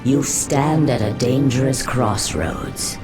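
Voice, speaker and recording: A woman playing a sly, commanding serpent sorceress in a fantasy game, measured, seductive and persuasive. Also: extremely low pitch. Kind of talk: dramatic fantasy game voice acting. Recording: background music under the voice.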